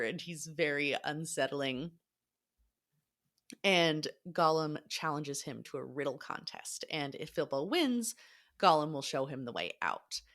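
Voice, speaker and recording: A woman's voice: fairly low pitch, a bit bright. A woman co-hosting a podcast, retelling a story in an informal, emphatic way during casual book discussion. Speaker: podcast co-host.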